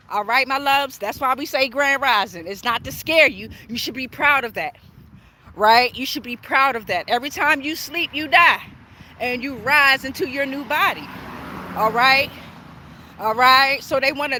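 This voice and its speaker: A woman's voice: high voice, sharp tone. A middle-aged woman chatting casually on a spiritual livestream as a tarot reader, emphatic and often checking in with tag questions. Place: outdoors on a walk near traffic. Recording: outdoor street noise, passing cars. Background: passing cars, urban outdoor ambience.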